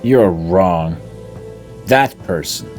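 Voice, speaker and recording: An actor playing a grim, defiant undead knight in a fantasy game, speaking in a measured, solemn manner. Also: male voice, low-pitched, expressive, full character voice. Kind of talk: fantasy RPG voice acting. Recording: game dialogue over loud music.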